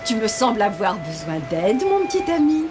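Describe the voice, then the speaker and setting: A woman playing a kind, helpful young city lady in a cartoon, gentle and reassuring. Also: fairly high pitch, big pitch swings, normal speed, music in the background. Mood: kindly. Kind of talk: animated cartoon dialogue.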